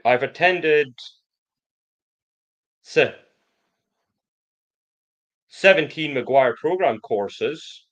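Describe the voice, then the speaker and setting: A man who stammers gives a prepared personal testimony in slow, deliberate, controlled speech, with occasional blocks on sounds. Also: medium pitch, lo-fi sound. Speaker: programme member giving a testimonial.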